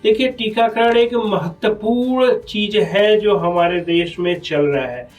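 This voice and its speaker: A man with a distinctly high voice and a North Indian accent explains public health as an interview guest. He is earnest and persuasive, repeating key phrases. He sounds set back from the microphone, with music playing underneath. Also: slow pace, distant mic, rough recording.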